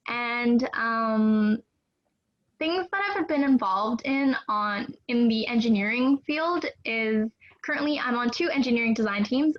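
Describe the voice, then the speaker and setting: A woman's voice: a little high, lo-fi sound. A young woman, from British Columbia, explaining things casually as a student panelist. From British Columbia, Canada. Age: young adult. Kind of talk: casual explanatory self-introduction.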